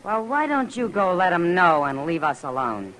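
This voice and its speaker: An actress playing a tough but kindly, sharp-tongued saloon woman in a Western radio drama, firm and plain-spoken. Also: lowish voice, sing-song, normal speed, poor audio. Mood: scornful.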